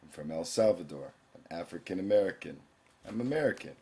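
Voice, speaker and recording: Male teacher, young adult, very low voice; plain explanatory screencast narration. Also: lively intonation, fairly quick, a little mellow. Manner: plain explanatory, reading quiz questions aloud.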